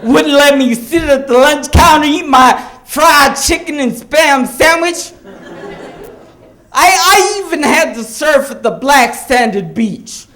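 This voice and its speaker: A male stand-up comedian from Hawaii telling jokes on stage in a halting way, with restarts and chuckles. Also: very high voice, animated, bright, forceful tone.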